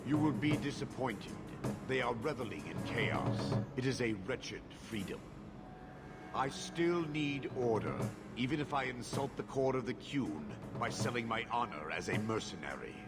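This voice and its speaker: Game casting: male actor as horned giant warrior, middle-pitched, measured, formal, blunt, loud music behind. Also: lively intonation, natural voice. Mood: stern. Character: stoic horned giant mercenary, proud and blunt. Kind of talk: video game dialogue acting.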